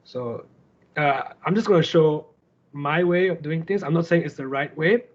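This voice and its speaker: A man's voice: fairly high pitch, moderate pace. A man from Sarawak with a Malaysian accent, giving an informal, conversational slide talk as a guest speaker on an online call. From Kuching, Sarawak, Malaysia. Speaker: guest speaker, startup founder. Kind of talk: casual slide presentation. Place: online video call with screen sharing. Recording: dull lo-fi online call audio.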